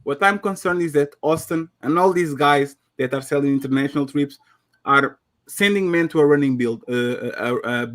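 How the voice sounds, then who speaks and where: Portuguese guy arguing hard, kinda high voice, swears a lot, trips over his words. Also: normal speed, fairly bright tone. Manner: emphatic, swearing, stumbling restarts. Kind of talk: heated casual debate.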